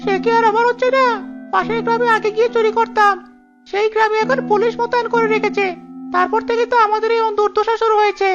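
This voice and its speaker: A boastful but hesitant village crook in a cartoon. The voice is extremely high, like a child's, and rattles along quickly. The sound is dull, over faint music. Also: flat delivery. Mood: complaining. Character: squeaky senior village thief, boastful yet hesitant. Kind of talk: cartoon dialogue. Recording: dull lo-fi audio with music behind.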